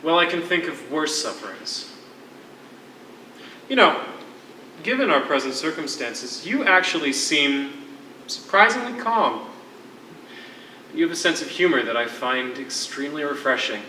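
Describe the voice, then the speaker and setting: A stage actor playing a world-weary, wry imprisoned writer with a wordy, formal, sardonic manner. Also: male voice, a little high, sing-song, unhurried, pauses often, pushed and bright, exaggerated voice. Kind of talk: theatrical stage acting. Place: on a stage in a hall. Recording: echoey theatre stage, distant mic, faint noise.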